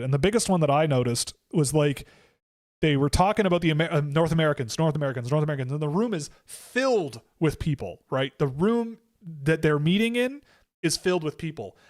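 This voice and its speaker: A man hosting a live stream in a loose, rambling way, trailing into fillers and doubling back on himself. His voice sits on the higher side, and the sound is close and dry, with no room echo. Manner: rambling and conversational, many fillers and restarts. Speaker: host of a hockey draft livestream. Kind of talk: casual livestream commentary answering chat.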